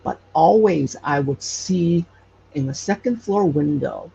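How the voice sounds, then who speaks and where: A man with a slightly high, creaky voice shares a personal story as an interview guest. He talks conversationally and pauses often in mid-phrase. The sound is dull and lo-fi, with some faint noise. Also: animated, dark tone. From Hawaii. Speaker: podcast guest, paranormal storyteller and investigator. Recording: muffled lo-fi with faint background noise.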